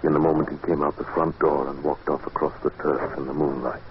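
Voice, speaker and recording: Deep-voiced, brooding old radio drama narrator. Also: male voice, little pitch movement. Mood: hushed, suspenseful. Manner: measured, dramatic, musing delivery. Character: male narrator Buchan, curious, uneasy house guest. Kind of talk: old time radio drama acting, first-person narration. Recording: dull, noisy old radio recording.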